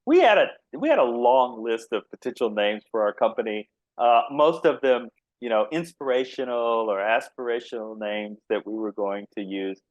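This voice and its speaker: A man's voice: medium pitch, expressive, moderate pace, dry recording, muffled recording. Easygoing laughing Texan man. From Texas. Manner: laughs often, easygoing and earnest. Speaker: biotech company founder, guest. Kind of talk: conversational interview answers.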